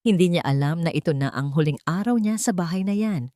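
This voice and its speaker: A woman's voice: on the low side, animated, fairly quick, warm tone, no room sound, studio quality. A woman narrating a scripted story in a dramatic storytelling style.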